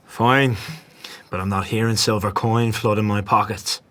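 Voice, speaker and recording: A man playing a cocky, foul-mouthed, impatient gang boss in a dark fantasy audio drama, with gruff, swaggering delivery. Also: fairly low pitch, steady tone, normal speed, lightly acted. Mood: sardonic, impatient. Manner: swaggering, gruff commands and coarse swearing.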